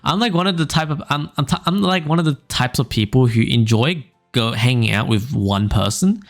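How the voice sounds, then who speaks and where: A young man hosting a casual podcast, reading funny stories aloud in a smooth low voice, rambling with fillers and small laughs. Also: fairly quick. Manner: relaxed, rambling, frequent fillers and little laughs. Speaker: podcast host. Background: faint background music.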